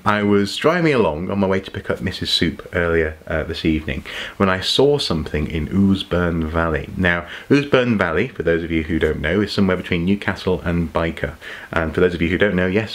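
Deep-voiced chatty man. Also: brisk. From England. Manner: chatty, musing, lots of ums and repeated words. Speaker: archaeology YouTuber. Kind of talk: impromptu casual vlog explainer. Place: home study with shelves of collectibles.

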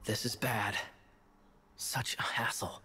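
An actor playing a suave young knight in a video game, who speaks in a worried, flowery and courteous way. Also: male voice, fairly low pitch, steady tone, takes it slowly, sharp tone, slight background noise.

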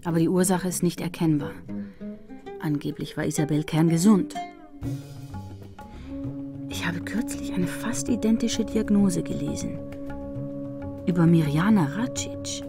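A serious female investigator in a radio crime drama. Her voice sits a little low, brooding and questioning, over prominent guitar music. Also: animated, measured pace, long pauses. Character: pensive, serious adult female investigator and narrator.

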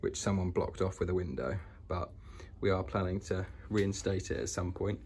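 Low-voiced British man giving a house tour. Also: fairly even intonation, normal speed, darkish tone. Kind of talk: casual walk-through narration. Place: inside an old house, walking between rooms. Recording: noisy indoor handheld home recording.

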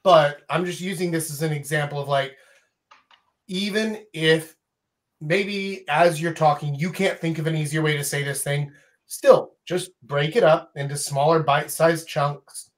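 A man in his thirties hosting a livestream from a home room on a studio mic, giving emphatic advice and acting out slow, spaced-out example lines. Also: a little high, fairly even intonation. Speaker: host and dating coach. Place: home room with a couch and guitars. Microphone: studio mic on a stand.

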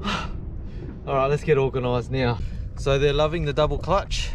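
A man in his forties with an Australian accent, chatting excitedly to viewers while fishing from a kayak on a bay. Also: fairly high pitch. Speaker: fishing vlogger. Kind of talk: casual running commentary while fishing. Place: kayak on open bay outdoors. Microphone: action camera mounted on kayak. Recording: wind and water noise outdoors.

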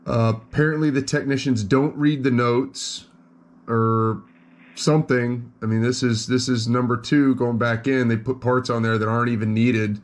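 A man calling a customer service line, explaining a complaint in a measured, mildly exasperated way. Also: medium pitch, fairly even intonation. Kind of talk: phone call. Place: indoors at a desk.

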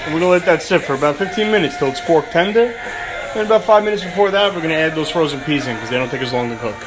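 A man's voice: a little high, lively intonation, racing, music in the background. A young man casually narrating a home cooking video in his kitchen, joking and brash with streetwise slang. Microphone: phone camera held close.

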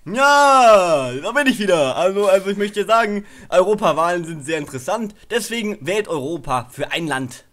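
A man's voice: sits high, lively intonation, moderate pace, hardly any pauses, a bit bright. A man performing improvised comic talk for a radio parody.

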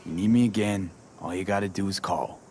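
A man's voice: sits low, a little fast, mellow, a little hiss or hum, close to an everyday voice. An actor playing a laconic, easygoing male mercenary in a video game, with clipped, understated delivery. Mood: easy, reassuring. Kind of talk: cinematic video game voice acting.

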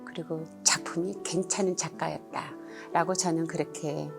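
A woman's voice: deep voice, fairly even intonation, moderate pace, gravelly, music in the background. A middle-aged or older woman, a painter, answering interview questions in an earnest, polite and reflective way.

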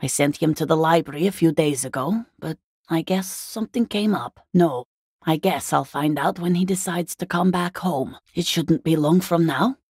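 A woman playing a weary, worried middle-aged working-class wife and mother in a video game, with a husky, gravelly voice and a halting, plain-spoken delivery. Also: sits low, darkish tone, no echo, clean studio sound. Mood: guarded, polite. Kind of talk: dramatic game voice acting.

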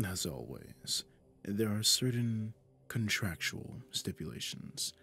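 A man voicing a possessive, suave demon lord in a roleplay monologue, pompous and deliberate, often dropping into breathy half-whispers. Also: sits low, fairly bright tone, no echo, studio quality, full character voice. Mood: commanding, condescending. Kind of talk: scripted ASMR roleplay monologue.

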